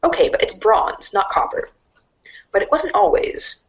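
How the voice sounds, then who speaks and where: A woman voicing a wry, mock-grandiose storybook narrator in a dramatic read-along style, deadpan and sardonic. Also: on the high side, a little slow, muffled recording. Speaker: narrator of a fan readers' theater show.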